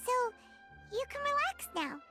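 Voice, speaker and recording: A woman voicing a tiny, plucky, chirpy girl companion in a video game, squeaky, feisty and exclamatory. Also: very animated, measured pace, music in the background, heavily acted. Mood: reassuring.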